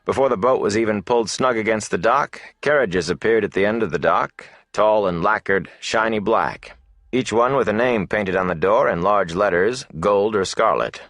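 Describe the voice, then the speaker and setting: A man narrating a fantasy novel as an audiobook, in a measured, dramatic storytelling manner. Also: fairly low pitch, a bit bright, no echo.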